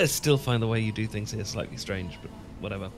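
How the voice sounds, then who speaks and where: A man's voice: fairly low pitch, fairly quick, over music, lightly acted. Man playing a stammering, bashful British professor. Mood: awkward, nervous. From Britain. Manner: stammering, hesitant, full of false starts. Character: awkward, bashful, sentimental British English professor, no longer young. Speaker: audio roleplay performer. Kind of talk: ASMR romantic roleplay acting.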